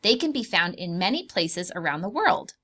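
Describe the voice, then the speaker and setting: A woman's voice: on the low side, sing-song, normal speed, a bit bright. A woman preschool teacher reading aloud to young children, explaining things simply, asking questions and drawing out key words.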